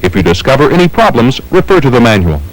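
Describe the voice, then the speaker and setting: A man's voice: mid-range voice, very animated, quick pace, rough recording. A man with an American accent reading scripted instructional narration in a formal, methodical, step-by-step way. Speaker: training film narrator.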